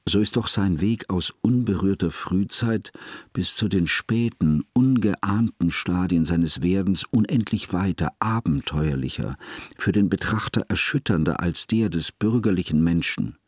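A scholarly, devoted friend as narrator, with a very deep and grainy voice. He speaks solemn, formal in a literary radio play. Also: no room sound, clean studio sound. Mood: grave, moved. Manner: formal, slow-weighing, solemn. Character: grave, scholarly male narrator, devoted friend looking back.

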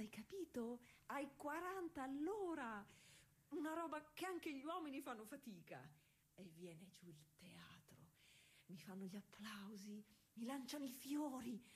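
An actress playing a proud, eager young woman racing cyclist of the early 1900s in a conversational, insistent stage monologue, with a husky voice. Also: mid-range voice. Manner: explanatory, conversational, insistent with repeated checks. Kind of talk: theatrical monologue addressed to an unseen listener.

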